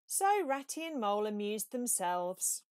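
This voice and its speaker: A woman reading a children's storybook aloud in a measured, expressive way. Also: mid-range voice, no room sound, studio quality. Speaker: storybook reader. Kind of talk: scripted children's story narration.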